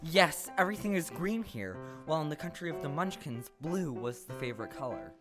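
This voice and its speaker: A woman's voice: low-pitched, lively intonation, brisk, soft background music. A woman playing a kindly, simple, cheerful scarecrow in an audio play.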